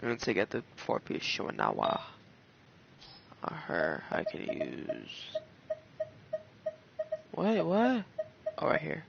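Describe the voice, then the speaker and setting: A man's voice: mid-range voice, huge pitch swings, slow, vocal fry, pauses often, lo-fi sound, slight background noise. A man giving casual, slangy, profane gameplay reactions as he streams a video game.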